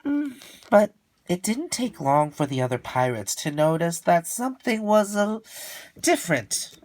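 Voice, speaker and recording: Slow-talking man reading a children's story. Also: high-pitched, big pitch swings. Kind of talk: expressive storybook narration.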